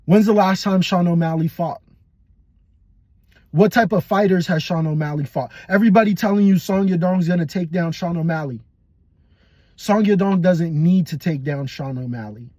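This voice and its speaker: A man's voice: sits high, normal speed, a little mellow, dry recording. A young adult man casually giving blunt, profane, rambling fight predictions as a podcast host.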